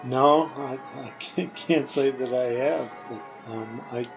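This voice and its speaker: A male podcast guest of average pitch who gives short, hesitant, dry answers, with a stammer here and there. Music is clearly audible under his voice. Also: slow pace.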